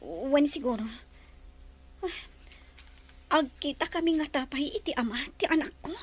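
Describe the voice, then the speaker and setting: Devoted, anxious Filipino-accented mother, high feminine voice, radio drama delivery. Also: animated, moderate pace. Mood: puzzled, uneasy. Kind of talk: Philippine radio drama acting.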